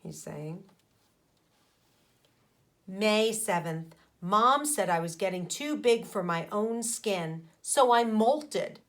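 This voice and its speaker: A woman's voice: mid-range voice, lively intonation. A woman schoolteacher reading a children's book aloud, stepping in to explain and ask questions in a didactic way. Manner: didactic, pauses to question the young listeners. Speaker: elementary school teacher.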